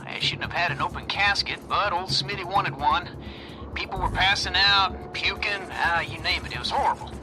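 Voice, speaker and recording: Man as a high-voiced goofy, crass sidekick. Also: moderate pace, music in the background, lightly acted, rough recording. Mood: morbidly amused. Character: crass, goofy male best friend of the hero. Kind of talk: video game cutscene acting.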